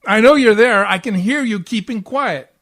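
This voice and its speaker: A man's voice: high voice, moderate pace, fairly bright tone. A man playing a grouchy, self-pitying, bourbon-loving husband in a 1940s-style radio comedy, whiny and melodramatic. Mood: groggy, grumbling. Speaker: community theatre actor.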